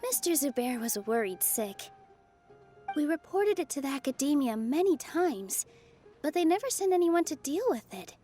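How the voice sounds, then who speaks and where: Cheery young dancer girl from a game, high voice, super earnest, music softly behind. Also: moderate pace. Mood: earnest, concerned. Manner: expressive, earnest, eager. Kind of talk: video game character acting.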